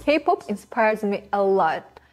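A woman's voice: medium pitch, very animated, slow pace, soft background music. A woman in her twenties speaking candidly in a studio interview. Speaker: singer interviewee. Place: indoor studio. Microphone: lapel mic.

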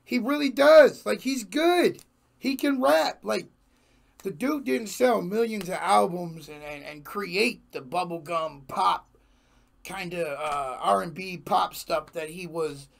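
A young man hosting a reaction video in casual slang, his voice hoarse, talking at a small home studio desk mic. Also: sits high, slow. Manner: slangy, laid-back, laughs often. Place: small home studio room with jerseys on wall. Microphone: large desk microphone in front.

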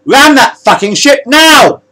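A man's voice: fairly high pitch, big pitch swings, quick pace, breathy edge, voice pushed up. A man voicing a grey-haired, hot-tempered starship captain barking clipped orders in a science-fiction story. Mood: outraged.

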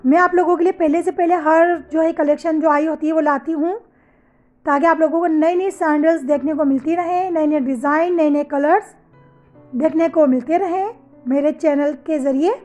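High-pitched chatty woman with a sore throat. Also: steady tone, normal speed, darkish tone, soft background music. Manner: chatty, addresses viewers as sisters and mothers. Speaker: fashion channel host. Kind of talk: casual voice-over for a slideshow of products.

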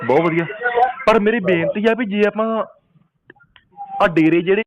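A man's voice: high voice, normal speed, over a noisy crowd. A man, preaching insistently and debating religion on a phone call with repeated rhetorical questions. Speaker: Sikh preacher taking a supporter's phone call. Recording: dull lo-fi phone call recording.

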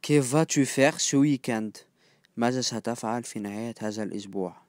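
A man's voice: medium pitch, darkish tone, no echo. Dry, close Egyptian male language teacher. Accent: Egyptian Arabic. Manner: repeats each phrase slowly, then glosses it in quick colloquial asides. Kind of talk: phrase-by-phrase language lesson.

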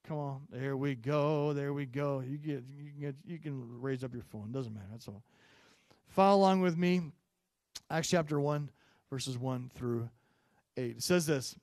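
A man in his forties preaching a Sunday sermon on a handheld microphone, chatty and full of fillers, rising loud on key points and dropping low and soft in prayer. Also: unhurried, no echo. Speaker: pastor. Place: church stage, medium-sized room. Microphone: handheld mic.

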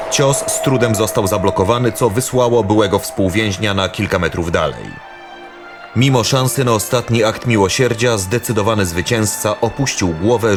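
A male storyteller reads scripted fantasy drama narration. His voice sits low, and strong background music plays under every line.

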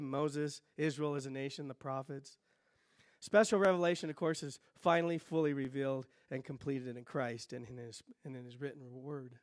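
A middle-aged male pastor preaches from a church pulpit in a fairly high voice, teaching with lively swings between loud emphasis and quiet asides. The sound is close and dry, with little room echo. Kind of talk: sermon. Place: church sanctuary stage.

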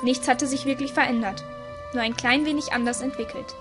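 Higher-voiced woman narrating a story. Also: fairly even intonation, fairly quick, music in the background.

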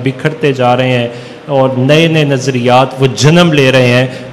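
A middle-aged Pakistani man, a religious scholar, giving a formal, somewhat halting convention speech into podium microphones. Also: medium pitch. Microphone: podium microphones through a PA. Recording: reverberant PA hall with background noise.

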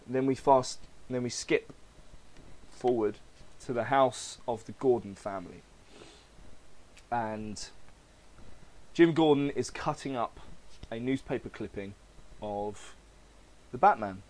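A man's voice: mid-range voice, a little mellow, low-quality recording. A man hosting a podcast, casually reading and narrating a comic-book story aloud. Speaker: podcast host.

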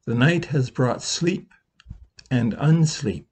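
A man in his sixties, a minister, reading a slow, reflective Easter meditation from his living room over a webcam, with long pauses. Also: mid-range voice, expressive, darkish tone, slightly rough.

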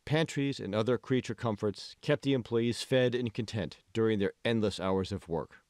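A man's voice: lowish voice, normal speed, a little mellow, no room sound, clean studio sound. A man reading scripted audiobook narration as the author of the book.

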